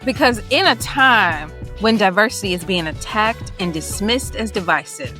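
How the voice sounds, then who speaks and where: A woman's voice: mid-range voice, lively intonation, moderate pace, pushed and bright, soft background music. A woman co-hosting a podcast, exuberant and preacherly, sometimes singing her phrases. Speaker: podcast co-host. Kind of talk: casual podcast chat.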